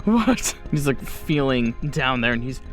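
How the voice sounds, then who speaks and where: A man's voice: medium pitch, normal speed, a bit bright, faint music behind. A man narrating a tabletop horror game as game master, describing scenes vividly and off the cuff.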